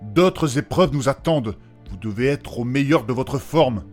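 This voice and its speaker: A man's voice: mid-range voice, expressive, unhurried, a little mellow, faint music behind, lightly acted. Man acting a formal, swooping fantasy healer. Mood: earnest, concerned. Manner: formal, addresses others politely as monsieur. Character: anxious, courteous, formal male healer guiding his companions. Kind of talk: French humorous fantasy audio drama.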